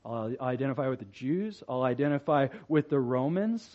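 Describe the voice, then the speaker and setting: A man preaching a sermon in an earnest voice, dropping soft and slow for his main points and rising loud when he reads scripture or acts out a doubting believer. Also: mid-range voice, lively intonation. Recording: dull lo-fi sound.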